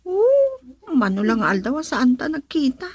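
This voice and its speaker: Radio drama sweetheart: high-voiced, hesitant young woman with an Ilocano accent, close dry sound. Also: huge pitch swings, a little slow, darkish tone, slightly rough, no room sound, exaggerated voice. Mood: playful, coy. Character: hesitant young woman in love, dutiful daughter.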